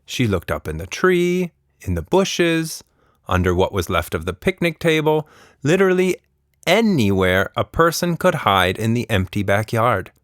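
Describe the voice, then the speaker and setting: A man's voice: mid-range voice, very animated, unhurried, fairly bright tone, no room sound. A man hosting and narrating a children's story podcast expressively, recorded up close.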